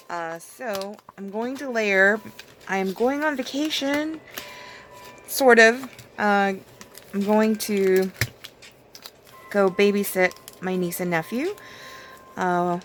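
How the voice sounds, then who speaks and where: Slow, raspy-voiced woman chatting. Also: mid-range voice. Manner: rambling, chatty, with many fillers and small laughs. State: hoarse, losing her voice. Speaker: nail vlogger. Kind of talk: casual chat while doing her nails.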